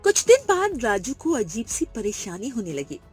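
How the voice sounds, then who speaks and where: A woman's voice: a little high, wildly swooping pitch, normal speed, soft background music. A woman giving scripted narration for a moral children's story.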